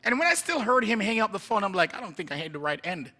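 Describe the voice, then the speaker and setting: A man with a Jamaican accent gives a guest sermon in a church, talking casually and wandering off into jokes about himself. His voice sits high and rings very bright. He moves around while he preaches. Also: very animated, quick pace. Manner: chatty, rambling, self-joking, moves around while preaching. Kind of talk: informal sermon. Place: church sanctuary.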